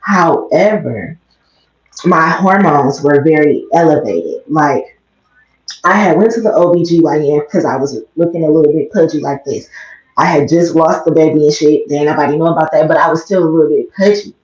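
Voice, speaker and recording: American woman, deep slightly raspy voice, quiet casual vlog chat, muffled echoey bathroom sound. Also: moderate pace. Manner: low-key, talking quietly. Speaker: vlogger livestreamer. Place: small bathroom indoors. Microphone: headset mic close to mouth. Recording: muffled, echoey small room.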